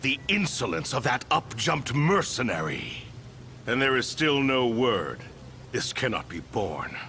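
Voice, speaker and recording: An actor playing a pompous, paranoid imperial commander in a video game, haughty and theatrical with archaic, formal diction. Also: male voice, medium pitch, very animated, unhurried, a little hiss or hum, heavily acted. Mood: indignant, suspicious. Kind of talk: dramatic video game villain acting. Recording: loud game music over voice.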